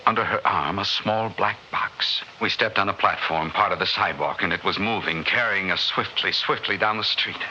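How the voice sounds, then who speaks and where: Bewildered man in strange future, halting dramatic narration, old radio drama, muffled noisy recording. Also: deep voice, sharp tone. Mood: awed, tense. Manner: breathless, repetitive, halting, dramatic. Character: bewildered male outsider narrating a nightmarish future world.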